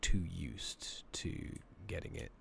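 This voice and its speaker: A man doing soft-spoken, partly whispered ASMR game commentary, rambling along in a relaxed way as he thinks aloud. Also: deep voice, slow pace, creaky. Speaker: game streamer, ASMR host. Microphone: makeshift new close microphone.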